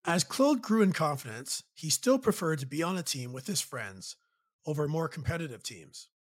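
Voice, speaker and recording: A Canadian man hosting and narrating a documentary in a measured, earnest way. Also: a little high, very animated. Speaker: host and narrator. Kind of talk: scripted narration.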